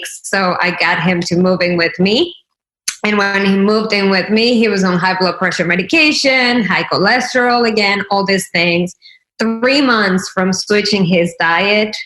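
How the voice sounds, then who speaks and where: Female guest from Venezuela, mid-pitched, earnest and explanatory conversational storytelling, roomy echoing recording. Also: a bit bright. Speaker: interview guest, wellness consultant.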